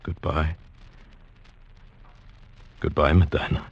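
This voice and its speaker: An actor in a 1940s radio drama playing a weary young traveller who tells his story in a slow, wistful, reflective way. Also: extremely low pitch, level tone, creaky, highs cut off, heavily acted. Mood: longing. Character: weary young traveller going blind, awed by beauty.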